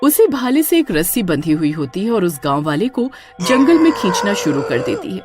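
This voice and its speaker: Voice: medium pitch, very animated, normal speed, a little mellow, husky, soft background music. A storyteller giving scripted, dramatic narration of a tale.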